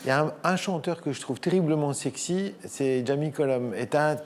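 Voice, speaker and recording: A man's voice: fairly high pitch, a little fast, a little hiss or hum. A man on a singing jury who jokes with contestants and leads the panel. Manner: lively, joking, leads the panel. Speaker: jury member. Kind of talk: casual jury chat.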